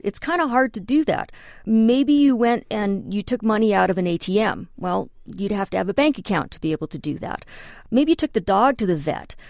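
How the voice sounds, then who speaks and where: A woman immigration attorney answering interview questions, explaining things clearly with practical examples. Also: mid-range voice, a little mellow, no room sound, studio quality.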